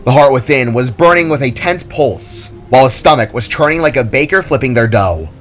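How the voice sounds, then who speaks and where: A man's voice: mid-range voice, expressive, a little fast. A man giving a dramatic scripted narration of a fantasy story, as its narrator. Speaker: author and narrator. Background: soft music.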